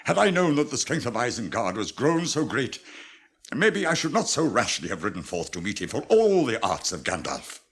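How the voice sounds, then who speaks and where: Proud aged king, British accent, solemn, brooding, creaky, expressive intonation, radio drama. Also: medium pitch, moderate pace, full character voice. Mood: brooding, doubtful. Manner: solemn, archaic, declamatory. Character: proud, weary old warrior king, grave and brooding.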